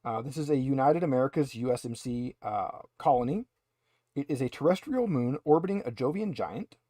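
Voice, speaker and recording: A man's voice: medium pitch, expressive, a little fast, mellow, no echo, clean studio sound. A man running a tabletop roleplaying game, narrating conversationally with wry asides. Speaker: game master.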